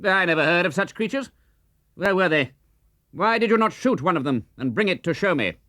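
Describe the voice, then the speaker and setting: A man's voice: high voice, lively intonation, fairly quick, pushed and bright, no echo, studio quality, pitched above the performer's own voice. A man voicing a haughty, gullible sheriff in a children's story, imperious and full of exclamations. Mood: astonished, curious. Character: haughty, gullible male sheriff, easily outraged.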